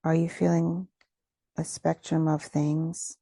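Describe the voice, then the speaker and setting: A woman leading a guided meditation, giving gentle, soothing instructions with unhurried phrasing and pauses. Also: deep voice, level tone, creaky, no echo.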